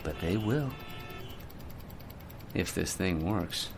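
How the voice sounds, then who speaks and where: An actor playing a brash, boastful American dreamer with cocky hustler patter in a theatrical radio drama style. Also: male voice, low voice, animated, slow pace, vocal fry, music in the background, exaggerated voice. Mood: smug scheming. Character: brash, boastful man, a scheming big-talking dreamer.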